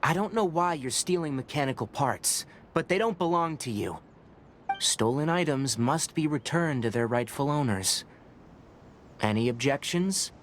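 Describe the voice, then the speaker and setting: An actor playing a calm, scholarly young forest ranger in a video game, measured and patient as he explains. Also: a little high, noisy background, lightly acted. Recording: game audio with clear ambience.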